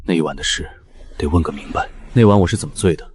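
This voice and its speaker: An actor playing a shrewd, composed young hero with a cool, probing manner, in an animated drama. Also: male voice, sits low, fast talker, husky, no room sound, studio quality. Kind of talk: audio-drama dialogue for animated story.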